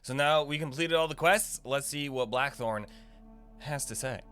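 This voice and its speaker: A man's voice: mid-range voice, moderate pace, bright, forceful tone, soft background music. A young man giving casual live gameplay commentary, thinking aloud in a slangy, playfully cocky way. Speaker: gaming video creator giving a quest guide.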